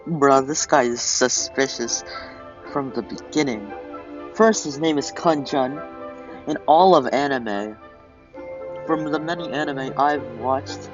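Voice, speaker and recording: A man giving casual, informal gameplay commentary as he plays through a game. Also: medium pitch. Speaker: gamer. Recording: muffled audio over game music.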